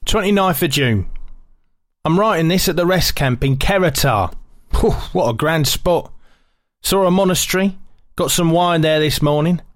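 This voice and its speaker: A man's voice: on the high side, moderate pace, no echo, clean studio sound, lightly acted. An actor playing a cheerful British sergeant major reading his wartime diary in short, chatty phrases, savouring small pleasures. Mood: cheerful, contented.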